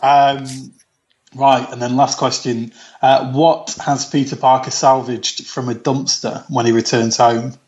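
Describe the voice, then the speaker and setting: Guy running a podcast quiz, steady, mid pitch, kinda muffled lo-fi sound. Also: measured pace. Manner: steady, asks questions and keeps score. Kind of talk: casual podcast chat. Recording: dull lo-fi audio.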